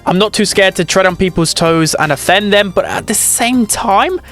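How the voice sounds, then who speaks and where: A man's voice: high voice, normal speed, a bit bright, soft background music. A man giving casual, opinionated ranking commentary as host of a gaming video. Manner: chatty, opinionated.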